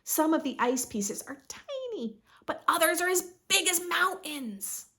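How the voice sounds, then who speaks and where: A woman reading a picture book aloud to young children in an expressive, instructive way, as a read-aloud host. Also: very high voice, measured pace. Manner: expressive, instructive, asks listeners questions.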